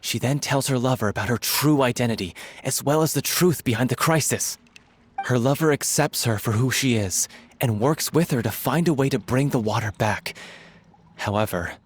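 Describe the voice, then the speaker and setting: A man's voice: medium pitch, normal speed, breathy edge, lightly acted. A man voicing an enthusiastic theatre-troupe scriptwriter who recounts his story at length. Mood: narrating. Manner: storytelling, excitable. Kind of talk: game voice acting.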